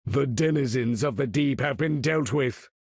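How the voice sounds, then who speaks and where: A man's voice: mid-range voice, normal speed, darkish tone, husky, no echo, studio quality. A man voicing a proud, imperious older mutant master of magnetism in a video game, speaking in a grandiose, formal, commanding way. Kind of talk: theatrical game character voice lines.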